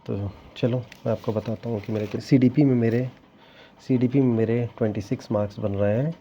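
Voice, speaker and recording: Young guy vlogging, rambly and kinda regretful, deep gravelly voice, muffled cheap mic sound. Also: steady tone, a little fast, mellow, slight background noise. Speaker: teacher exam candidate sharing his scores. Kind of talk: casual vlog talk to viewers. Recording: dull lo-fi sound with faint ambience.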